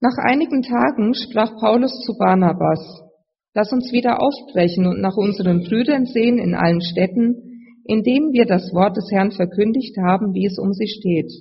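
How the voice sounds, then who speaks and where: A preacher with a slight cold and a husky, gravelly voice, giving a sermon in a measured, explanatory way to a congregation. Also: mid-range voice. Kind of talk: sermon with scripture reading. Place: large reverberant room, live address. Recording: muffled, long hall reverb.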